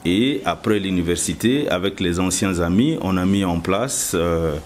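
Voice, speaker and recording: Deep Congolese man over background noise. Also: normal speed, darkish tone. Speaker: political candidate, guest. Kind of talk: interview answers.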